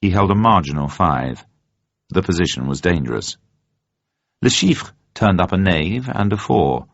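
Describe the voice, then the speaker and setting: A man narrating a novel as a scripted dramatic reading, in a measured, deliberate storytelling manner. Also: deep voice, lively intonation, vocal fry. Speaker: audiobook narrator. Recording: roomy, slightly dull sound.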